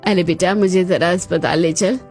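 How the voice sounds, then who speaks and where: A woman's voice: on the low side, steady tone, moderate pace, darkish tone, lightly acted. A woman playing a demanding, easily offended middle-aged mother-in-law in a cartoon story, with music behind her. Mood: pleading. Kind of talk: Hindi cartoon story dialogue.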